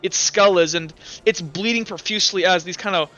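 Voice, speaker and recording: A young man runs a tabletop game, narrating the fight in a rambling way full of "like" and "uh". His voice sits high and comes through smooth and crisp. The recording sounds dull and lo-fi. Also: a little fast, a bit bright. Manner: rambling, lots of likes and uhs. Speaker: game master. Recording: dull lo-fi mic.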